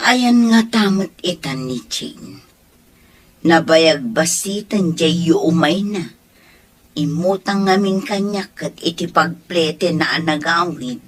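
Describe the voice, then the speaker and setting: A woman voicing a caring village neighbor who talks in a slow, homely way. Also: low voice, animated, full character voice. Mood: concerned.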